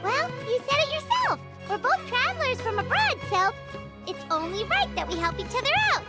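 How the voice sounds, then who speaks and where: A woman voicing a small, chirpy, childlike fairy companion in a video game, excitable and blunt. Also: very high-pitched, normal speed, over loud music. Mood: eager. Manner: childlike, exclaiming, speaks of self in third person.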